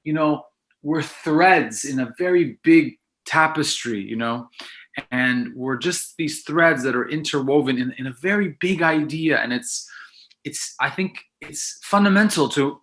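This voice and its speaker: A man's voice: medium pitch, unhurried, fairly bright tone. A middle-aged man, a filmmaker guest whose first language is Italian, talking reflectively in a casual interview with many restarts.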